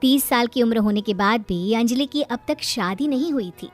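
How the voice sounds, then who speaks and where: A woman narrates a scripted story in a high-pitched voice. Faint music plays behind her narration. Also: normal speed.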